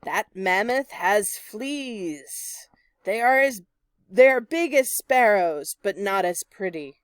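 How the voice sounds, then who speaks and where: A woman's voice: medium pitch, lively intonation, slow pace, clear, resonant tone, dry recording. Woman playing a sour, stern male schoolteacher. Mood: stern disdain. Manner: stern, disdainful. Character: sour, frowning male schoolteacher, disapproving of mammoths.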